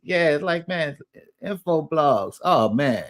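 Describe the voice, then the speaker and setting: A man chatting casually as a guest investor, relaxed and enthusiastic. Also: fairly high pitch, takes it slowly. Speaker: guest real estate investor. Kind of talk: casual chat.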